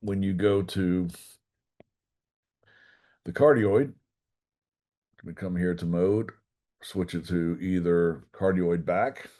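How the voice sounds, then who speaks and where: A man giving an informal technical class, walking through a software demonstration step by step in a folksy way. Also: sits low, slow, pauses often. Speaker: class instructor.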